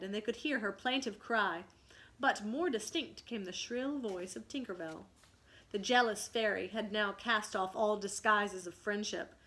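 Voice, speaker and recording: A woman teacher reading a children's story aloud with dramatic expression and pausing to ask questions. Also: medium pitch, pushed and bright.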